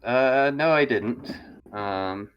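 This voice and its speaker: A man's voice: mid-range voice, very slow, smooth, dull audio. A man playing a tabletop roleplaying game, casually narrating his character's actions in the third person.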